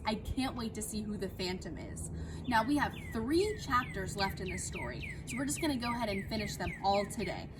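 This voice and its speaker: A woman teacher speaking and reading aloud to children in an animated, affectionate, expressive way. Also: a little high. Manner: animated, addressing young listeners affectionately. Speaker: teacher reading a story to pupils. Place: outdoors in a backyard. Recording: clear background noise, outdoor ambience.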